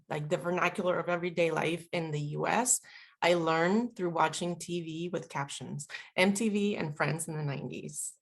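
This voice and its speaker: Woman, around 40, from Puerto Rico, native Spanish speaker, low voice, gravelly edge, chatty, reflective interview guest. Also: a bit bright. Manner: reflective, chatty, many fillers. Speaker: guest, veteran and linguist. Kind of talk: casual interview talk.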